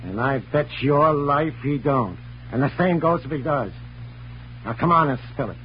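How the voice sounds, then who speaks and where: An actor playing a hot-headed, thuggish American gangster with street slang, in 1940s radio crime drama style. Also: male voice, fairly high pitch, animated, moderate pace, close to an everyday voice. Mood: threatening. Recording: muffled, noisy old radio recording with hum.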